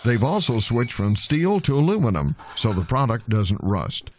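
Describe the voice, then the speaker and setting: A man reports a scripted TV news feature with lively, varied intonation. His pitch is average, and faint noise sits behind the voice. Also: normal speed.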